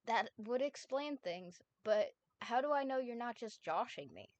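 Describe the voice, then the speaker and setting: A woman's voice: fairly high pitch, animated, measured pace, darkish tone, no echo. A woman reading as a skeptical teenage boy. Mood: earnest. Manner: reads dialogue in her own voice.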